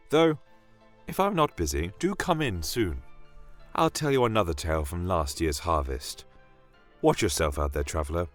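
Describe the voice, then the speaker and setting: A man playing a kindly, sentimental town doctor in a fantasy audio tale, telling of his winter kingdom in a reflective, gently formal way. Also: low voice, heavily acted. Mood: cheerful, friendly. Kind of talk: in-character fantasy monologue to a listener. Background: music.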